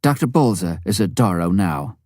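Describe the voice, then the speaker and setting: A man with a very low voice reads a memoir as an audiobook narrator. His pitch moves in lively sweeps through the measured, deliberate phrases. The tone is mellow and warm, with the expressive shaping of a storyteller. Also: no room sound, studio quality. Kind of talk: scripted narration of a missionary memoir.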